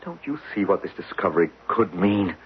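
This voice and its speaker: An actor playing a desperate, obsessed geologist in an old radio drama, speaking with insistent intensity. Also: male voice, low-pitched, big pitch swings, brisk. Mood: urgent. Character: obsessed, desperate male geologist urging belief in his discovery. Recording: muffled, hissy old radio recording.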